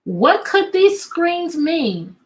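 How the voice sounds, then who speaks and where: A woman teacher reading a picture book aloud to children, animated and coaxing, often repeating questions to draw out answers. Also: very high-pitched, drawn-out words. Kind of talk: interactive children's read-aloud.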